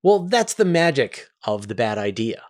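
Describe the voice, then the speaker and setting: A man hosting a question-and-answer show, explaining things conversationally in an animated way with rhetorical questions. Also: medium pitch, normal speed. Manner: animated, rhetorical questions, acts out imagined quotes. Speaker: host of a space Q&A show.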